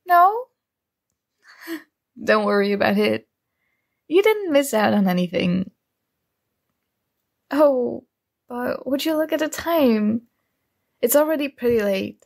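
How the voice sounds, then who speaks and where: Shy nerdy female student played by a woman for romantic ASMR roleplay; slow, halting, flustered, with vocal fry. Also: fairly high pitch, darkish tone, heavily acted. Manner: hesitant, awkward, halting.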